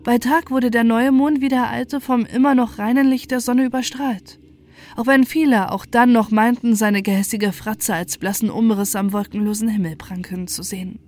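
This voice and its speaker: A woman narrating a scripted first-person horror story with deliberate, dramatic phrasing. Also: a little high, fairly even intonation, a little mellow, a little hiss or hum. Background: music.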